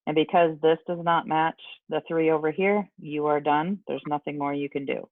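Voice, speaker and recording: A man's voice: fairly high pitch, fairly even intonation, normal speed, no echo. A man teaching a casual online math lesson, chatty with rambling asides, his voice scratchy from allergies. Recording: dry, tinny phone-like sound.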